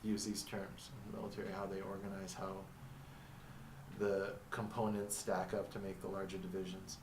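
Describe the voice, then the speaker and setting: A man whose first language is Arabic, thinking aloud in a reflective monologue, halting and restarting as he reads from notes. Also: deep voice, completely flat delivery, recorded from a distance, noisy background. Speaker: vlogger narrating his own story. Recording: echoey room, mic set back.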